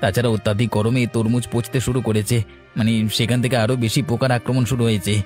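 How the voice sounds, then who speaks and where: A man voicing a village farm worker, explaining matters plainly in an animated moral-story cartoon. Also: mid-range voice, quick pace, faint music behind, close to an everyday voice.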